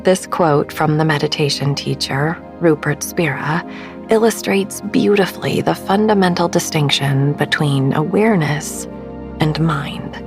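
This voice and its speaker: A woman narrating a guided sleep meditation as host, soft and breathy, soothing and unhurried, often trailing into a whisper. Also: low voice. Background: soft background music.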